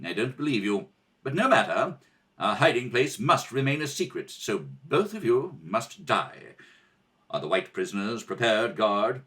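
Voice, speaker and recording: Imperious rebel prince played by a man: low, stern, suspicious, commanding radio villainy. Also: big pitch swings, a little slow, a bit bright. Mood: grim. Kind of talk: old radio serial acting.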